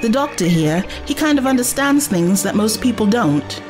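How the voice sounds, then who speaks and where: Young female companion played by a woman, earnest and coaxing, higher register, soft music behind, audio drama. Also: lively intonation, moderate pace, close to an everyday voice. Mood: gentle, earnest.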